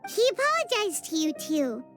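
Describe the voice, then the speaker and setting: A tiny, chirpy fairy sidekick from an anime game. The voice is piercingly high and childlike, bouncing widely in pitch with earnest expression, over prominent music. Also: female voice, moderate pace. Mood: curious. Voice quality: squeaky, childlike. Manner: refers to herself in third person, expressive. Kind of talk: anime video game voice acting.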